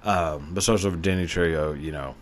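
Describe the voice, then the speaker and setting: A man's voice: deep voice, fairly quick, a little hiss or hum. A man hosting a podcast, rambling through casual, joking commentary with lots of fillers and profanity. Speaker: podcast host.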